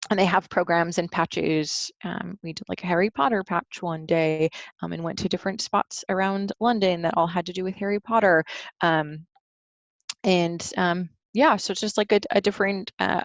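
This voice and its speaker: A woman's voice: on the low side, dry recording, muffled recording. A woman talking informally and hesitantly through travel photos as a troop trip leader, in a casual, conversational way.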